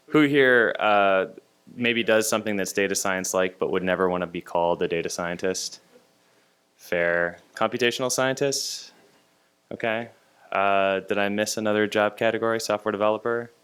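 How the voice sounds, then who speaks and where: A man from Hawaii with a low, deep voice addresses a tutorial audience. He introduces himself and asks the room questions, pausing often on hesitant fillers. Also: a bit bright, clean tone. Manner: hesitant, many filler ums and uhs. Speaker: tutorial instructor. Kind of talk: self-introduction and audience polling.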